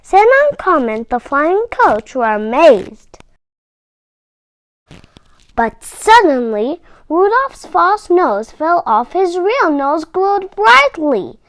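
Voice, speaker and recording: High, animated woman reading to children. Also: dry recording. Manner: animated, deliberate, dramatic emphasis. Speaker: storybook reader for children. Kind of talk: expressive read-aloud storytelling for children.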